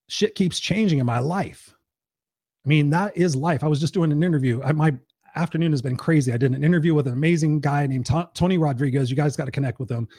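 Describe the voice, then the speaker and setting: Hoarse, profane man hosting a live stream. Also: fairly high pitch, dry recording, clean studio sound. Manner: profane, energetic, rambling. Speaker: host and life coach. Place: home.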